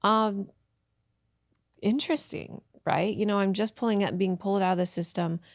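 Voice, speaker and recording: A woman's voice: medium pitch, fairly even intonation, normal speed, no echo, studio quality. A woman guest telling her life story in a candid, reflective way, often restarting sentences. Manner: frank, reflective, with restarts and soft asides. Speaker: podcast guest, tantra teacher.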